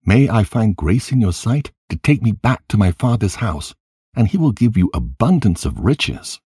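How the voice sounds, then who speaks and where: A man's voice: fairly low pitch, big pitch swings, moderate pace, warm tone, breathy edge, dry recording, studio quality, pitched above the performer's own voice, exaggerated voice. A man reading scripture dramatically as an anguished young son, crying out and imploring in mourning. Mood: begging. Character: anguished young son pleading at his mother's grave.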